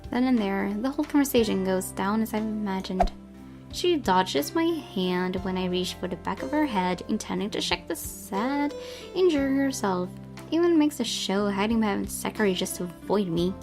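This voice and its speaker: Woman reading a visual novel out loud, mid pitched voice, music clearly behind her. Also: lively intonation, normal speed. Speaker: gaming channel host reading aloud. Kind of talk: visual novel narration, read aloud.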